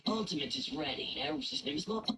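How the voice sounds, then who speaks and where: An actor voicing a video game character calling out short combat readiness alerts. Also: male voice, high voice, big pitch swings, a little fast, creaky, pushed and bright, exaggerated voice. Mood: alert, clipped. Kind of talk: short game voice-line callouts, looped and pitched. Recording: clicks and faint noise, played from editor.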